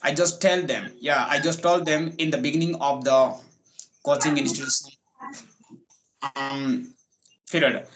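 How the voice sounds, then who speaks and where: Halting man with higher pitch. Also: steady tone, fairly bright tone, slight background noise, poor audio. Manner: halting, many fillers and restarts. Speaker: English coaching center owner. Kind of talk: casual conversation. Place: village.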